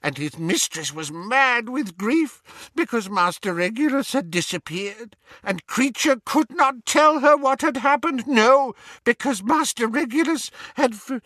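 A shrill, squeaky old male house-elf, sobbing and stammering, slow, drawn-out words, swooping wildly, dry close sound. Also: animated, pushed and bright, no echo, heavily acted. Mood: sobbing, pleading. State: crying. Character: elderly male house-elf, servile, grief-stricken. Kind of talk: audiobook character voice.

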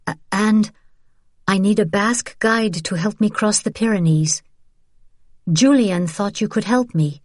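A woman's voice: mid-range voice, measured pace, no echo, studio quality, voice pushed up, natural voice. A woman voicing a brave but frightened young French woman resistance courier, pleading and insistent, for an audiobook. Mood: nervous resolve.

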